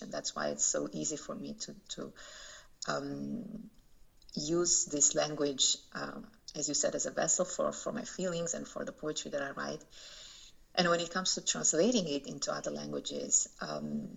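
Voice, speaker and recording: Low, gravelly woman with halting speech. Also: fairly bright tone. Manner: thoughtful, halting, many fillers and restarts. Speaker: poet and translator. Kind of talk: interview answers. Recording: dull lo-fi recording.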